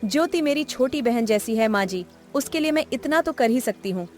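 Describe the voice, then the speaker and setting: A woman acts a kind young elder sister-in-law in a cartoon family tale. Her voice is high, affectionate and reassuring. Faint music plays underneath. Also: animated, a little fast, a little mellow, natural voice.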